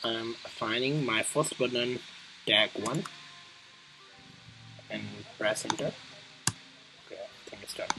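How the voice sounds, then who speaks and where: A man presenting a casual coding tutorial, thinking aloud in a halting way as he demonstrates each step. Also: mid-range voice, rough recording. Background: music clearly playing behind the voice.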